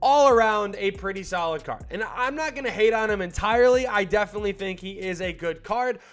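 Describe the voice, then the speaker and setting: A man's voice: high-pitched, brisk, soft background music. A young man with a slightly stuffed-up voice giving casual, slangy video game commentary. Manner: hyped, slangy and rambling, with filler words. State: still a little stuffed up from a cold. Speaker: gaming YouTuber.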